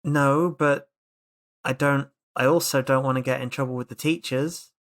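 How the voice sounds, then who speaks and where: A man's voice: medium pitch, steady tone, moderate pace, a bit bright, lightly acted. A man voicing an anxious, reluctant schoolboy, whiny and hesitant, in a tabletop game.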